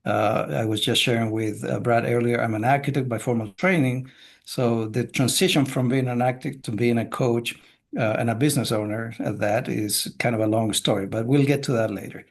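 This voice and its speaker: A middle-aged man from Chile, a business coach, talking casually as a podcast guest in a measured way with many filler sounds. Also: mid-range voice, fairly even intonation, gravelly.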